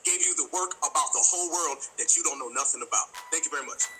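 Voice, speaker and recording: Fast, sharp-voiced man grilling a caller. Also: on the high side, soft background music, rough recording. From Georgia, US deep south. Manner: mocking, interrogating, rapid-fire. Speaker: podcast co-host. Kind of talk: heated live audio-room argument.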